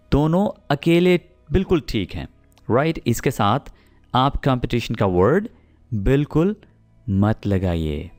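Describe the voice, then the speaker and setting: A Pakistani man gives a spoken English lesson, taking his time over each example sentence. His pitch rises and falls a lot as he checks his students are following, and faint music plays behind him. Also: darkish tone. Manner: explains patiently, repeats example sentences slowly and checks in with "theek hai?". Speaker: English teacher.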